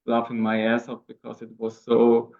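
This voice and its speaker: Non-native English-speaking man, chatty, laughs a lot, mid pitch, muffled lo-fi audio. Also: monotone, moderate pace, gravelly, darkish tone. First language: not English. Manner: chatty, laughs often, searches for words. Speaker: guest co-host. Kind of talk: conversational interview. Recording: muffled lo-fi sound.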